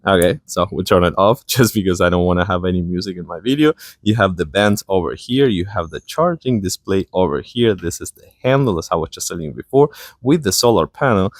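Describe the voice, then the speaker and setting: A man's voice: low voice, expressive, brisk, dry recording, clean studio sound. A man giving a casual, enthusiastic product review, showing and explaining things informally to the viewer.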